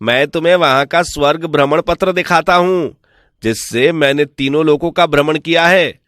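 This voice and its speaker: A crafty village trickster played by a man for an animated folk tale. His voice sits a little high and is recorded dry. He coaxes and boasts with false respect, always selling his scheme. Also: normal speed, dry recording, natural voice. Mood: sly, persuasive. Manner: persuasive, boastful, mock-respectful. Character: sly smooth-talking male village swindler posing as returned from heaven.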